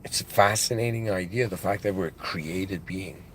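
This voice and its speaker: A man with an accent in English, giving a reflective spiritual monologue as a channel host, halting and musing, with restarts and devout phrases. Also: sits low, a little hiss or hum, rough recording. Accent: non-native English.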